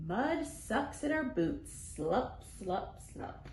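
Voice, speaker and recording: Casting: female children's storytime reader, unhurried and sing-song, playful with sound words, recorded in an echoey room. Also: a little high, very animated. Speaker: children's librarian, storytime host. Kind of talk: expressive picture-book read-aloud for young children, with rhymes. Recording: roomy echo, faint background noise.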